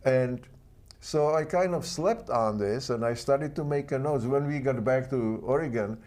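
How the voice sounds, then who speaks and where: A man from Czechoslovakia with a Czech accent, a guest telling his story in halting, earnest second-language English. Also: medium pitch, a little mellow. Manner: earnest, halting non-native phrasing. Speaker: guest author. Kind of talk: interview storytelling.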